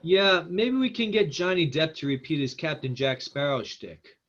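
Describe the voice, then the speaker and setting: A man playing a smooth, agreeable film producer in a table read. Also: a little high, lively intonation, normal speed, fairly bright tone. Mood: earnest. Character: smooth, agreeable male producer pushing diversity casting. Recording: slightly dull, lo-fi audio.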